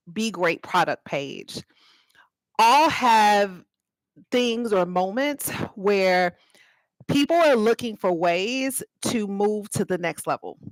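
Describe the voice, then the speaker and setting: Woman, mid-pitched voice, author-host, informal livestream talk, explanatory, inviting responses, dry sound. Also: no room sound, rough recording. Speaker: author and host of a live read-aloud.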